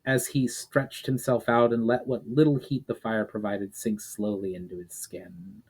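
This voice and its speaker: A man's voice: on the low side, level tone, a little slow, darkish tone, slightly rough, low-quality recording. A man giving a dramatic scripted narration of a fantasy story as a storyteller. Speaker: author and storyteller.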